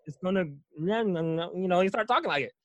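A man's voice: high voice, moderate pace, no room sound. A man interviewing a guest in casual chat, rambling and laughing often.

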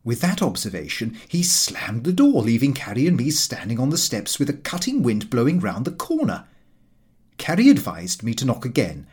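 A man's voice: medium pitch, huge pitch swings, normal speed, a little mellow, breathy edge. A man narrating a comic diary novel as a scripted audiobook reading.

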